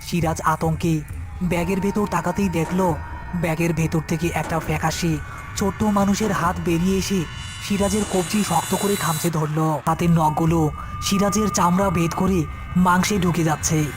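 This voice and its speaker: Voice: mid-range voice, fairly even intonation, moderate pace, music in the background. A narrator reading a scripted horror story in a storytelling style.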